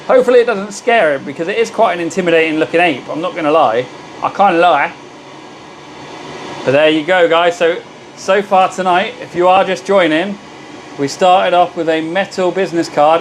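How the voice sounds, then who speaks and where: Host: hoarse man, slightly high voice, chatty and friendly with viewers, casual live-stream energy. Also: slight background noise, rough recording. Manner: chatty, greets viewers by name. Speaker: livestream host.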